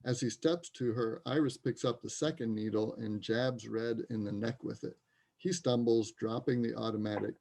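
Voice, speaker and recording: A male narrator reads stage directions for an amateur play. His mid-pitched voice is mellow, with plenty of creak. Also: steady tone, moderate pace.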